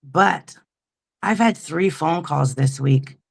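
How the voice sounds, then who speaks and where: A woman in her forties talks very slowly, her voice full of gravelly fry. She tells her own story candidly, halting often with ums and restarts. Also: low-pitched. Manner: slow, halting, candid, many fillers. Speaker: interview guest. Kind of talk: personal story in an interview.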